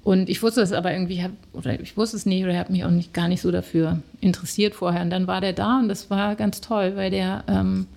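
A woman's voice: medium pitch, steady tone, fast talker, creaky, few pauses, darkish tone. A middle-aged woman from Germany, a guest telling her story in casual conversation, hesitant with many fillers.

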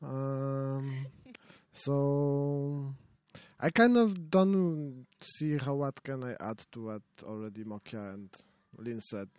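A man from Poland speaks slowly and hesitantly in a smooth, resonant voice. He is self-deprecating. The sound is dry. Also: medium pitch, darkish tone, no room sound. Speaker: panel speaker.

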